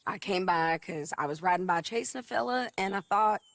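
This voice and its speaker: A gravelly frontier bounty hunter. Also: female voice, fairly low pitch, fairly quick, fairly bright tone. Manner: blunt, matter-of-fact, plain-spoken. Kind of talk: video game cutscene acting.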